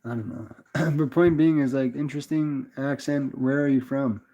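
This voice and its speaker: A man's voice: medium pitch, fairly even intonation, moderate pace, warm tone. A young man with a Canadian accent chatting casually on a livestream, rambling and laid-back as he answers viewers and calls them brother. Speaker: livestream host reading chat. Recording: slightly dull lo-fi sound.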